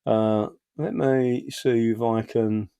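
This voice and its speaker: A man's voice: mid-range voice, steady tone, takes it slowly, dark tone, smooth. A man co-hosting political commentary, explaining carefully with hesitations. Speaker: co-host.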